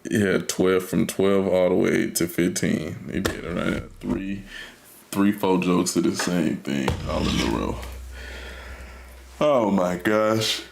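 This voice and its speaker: A man's voice: low voice, slow pace, gravelly, slight background noise. A young man hosting a casual livestream, bantering in a laid-back, slangy way. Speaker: streamer host. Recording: loud music behind.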